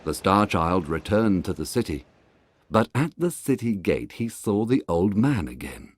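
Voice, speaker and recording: A man narrating a scripted fairy tale in a dramatic, deliberate storytelling manner. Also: low-pitched, sing-song, slightly rough, no room sound.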